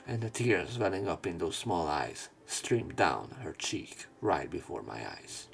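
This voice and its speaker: A man reading a visual novel aloud as a let's player, in an expressive, dramatic narration style. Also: low-pitched, a little slow, vocal fry, slight background noise. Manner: expressive, with emotional swells.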